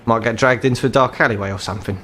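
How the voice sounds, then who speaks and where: A man plays a gruff, ageing troll who works as an underworld fixer in a tabletop game. He is British, blunt and foul-mouthed, and speaks like someone bitter and tired of living in the gutter. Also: medium pitch, rapid-fire, a bit bright, a little hiss or hum, lightly acted. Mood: blunt, conspiratorial. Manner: blunt, sweary, world-weary.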